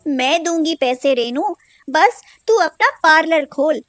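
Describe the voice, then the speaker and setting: Woman acting confident rich lady, emphatic high voice. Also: animated, takes it slowly. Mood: firm, encouraging. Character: rich, confident woman, generous and bossy old school friend.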